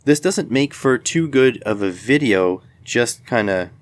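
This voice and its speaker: A man's voice: medium pitch, lively intonation, a little slow, no room sound. A man giving a casual, unscripted product demonstration in a rambling, matter-of-fact way. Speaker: video host, electronics product reviewer.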